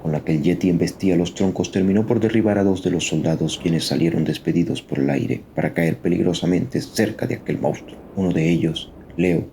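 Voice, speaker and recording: Male narrator, very low register, solemn suspense storytelling, faint ambient noise behind. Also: steady tone, brisk, gravelly, hardly any pauses, mellow. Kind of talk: dramatic scripted story narration.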